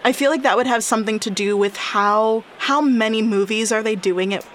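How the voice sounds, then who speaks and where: A chatty woman in her twenties, co-hosting a relaxed science podcast. She explains things playfully, full of likes and ums. A faint hiss sits behind her voice. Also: fairly high pitch, normal speed. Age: 20s. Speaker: podcast co-host. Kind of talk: casual science podcast chat.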